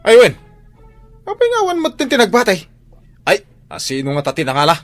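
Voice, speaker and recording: A man with an Ilocano Filipino accent playing an excitable, defensive husband in a comedic radio drama. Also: high voice, fairly quick, soft background music. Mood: outraged. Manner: blustering, exclaiming. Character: excitable, defensive husband, self-proud fence builder.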